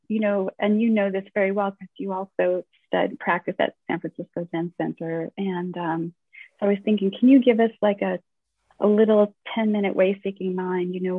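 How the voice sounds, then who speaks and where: A woman hosting an interview, speaking informally and haltingly as she searches for words, over a thin call connection. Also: mid-range voice, level tone, breathy edge. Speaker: host interviewing a guest teacher. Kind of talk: informal spoken introduction and questions. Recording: thin phone-like call audio, roomy echo.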